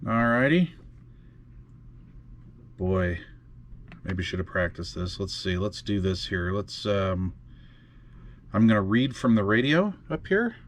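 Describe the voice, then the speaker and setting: A man casually walking through radio software in a makeshift home studio, often trailing off and muttering to himself as he tinkers. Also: lowish voice, expressive, takes it slowly, pauses often, slight background noise. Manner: muttering to himself while fiddling with gear, many fillers. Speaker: radio hobby video host. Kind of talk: casual hands-on demonstration.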